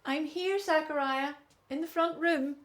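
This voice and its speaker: An actress playing a wistful, loving older wife in a radio play, soft-hearted and plaintive. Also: female voice, high voice, unhurried.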